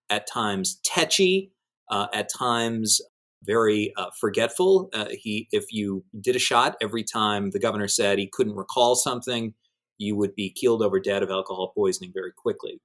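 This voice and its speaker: Low voiced guy, newspaper editor doing news commentary, wordy n measured, punches key words. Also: a bit bright. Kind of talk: news discussion, conversational analysis.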